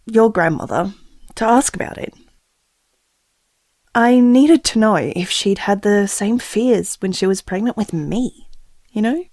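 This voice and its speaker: A woman's voice: fairly high pitch, a little mellow, no echo. An actress playing an anxious, tender expectant mother, confiding reflectively in an intimate audio drama monologue.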